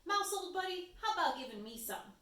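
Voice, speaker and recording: A woman's voice: very high voice, very animated, a little fast, sharp tone, voice pushed up, heavily acted. A woman voicing an eager, chummy, folksy squirrel who exclaims in a read-aloud storybook. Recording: roomy echo, distant microphone.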